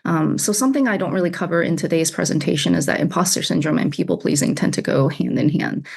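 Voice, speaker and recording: A woman in her thirties speaks in a low register with a gravelly, fry-laden edge. She answers openly and conversationally, laughing easily as she explains her work as a coach over a video call. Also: quick pace, a little mellow. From United States (Alabama). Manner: candid, laughs often, repeats words. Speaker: executive coach, guest.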